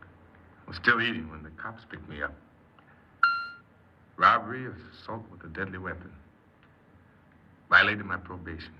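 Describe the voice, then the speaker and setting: A man's voice: low-pitched, normal speed, pauses often, natural voice. An actor playing a bitter, downcast young American ex-convict recounting his life plainly in a 1950s radio drama. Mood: resigned. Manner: plain-spoken, clipped, glum. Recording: noisy, muffled 1950s radio recording.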